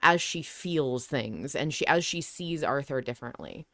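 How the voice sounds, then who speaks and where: A woman's voice: very deep voice, normal speed, a bit bright, no room sound, clean studio sound. A woman hosting a podcast, talking casually and analytically, reflective as she thinks aloud. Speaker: podcast host.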